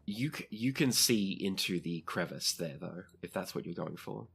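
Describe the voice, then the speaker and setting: A man with a low, husky voice acts as game master for a tabletop game. He describes the action as he goes and checks rules with the players. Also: animated, moderate pace, a bit bright. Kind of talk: improvised tabletop game narration.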